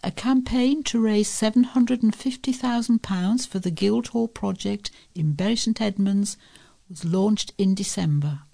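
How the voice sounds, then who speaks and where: A woman reads local news and features from a script. Her voice is mellow and soft-edged, with frequent creak, at a middling pitch for a woman. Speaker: volunteer newsreader for a local talking newspaper.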